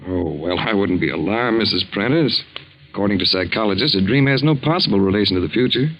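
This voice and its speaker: An actor playing a polite, reassuring young fiancé in a breezy 1940s radio style. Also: male voice, lowish voice, lively intonation, fast. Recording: dull 1940s radio recording.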